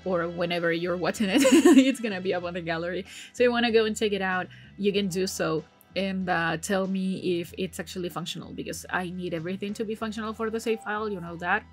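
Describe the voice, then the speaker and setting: A woman in her thirties, recovering from a cold, giving casual, rambling voice-over commentary that she often corrects midway, with frequent laughs. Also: mid-range voice. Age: 30s. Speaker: game builder channel host.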